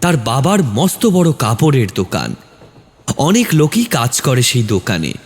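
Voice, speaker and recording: A man's voice: mid-range voice, huge pitch swings, a little slow. A man narrating a scripted horror story as a storyteller. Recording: long reverb on the voice.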